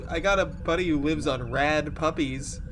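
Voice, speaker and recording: A man's voice: on the high side, moderate pace. A man playing a tabletop game, chatting casually and joking around with the group. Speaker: player. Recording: clearly noisy room recording.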